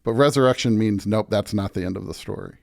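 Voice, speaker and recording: A man's voice: lowish voice, fast, creaky. A man speaking as a pastor and podcast guest, conversational but preaching, emphatic and often checking in with "right?".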